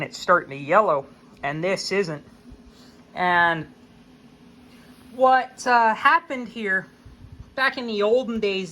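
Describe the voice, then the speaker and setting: A man's voice: high-pitched, pushed and bright. An American man giving a casual, unscripted explanation as a hobbyist video host, in a folksy, plain-spoken way.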